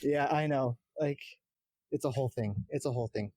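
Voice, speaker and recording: A man's voice: mid-range voice, fairly quick, warm tone, no room sound. A man in casual gaming chat as a podcast guest, explaining things in a rambling way. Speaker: podcast guest, game streamer.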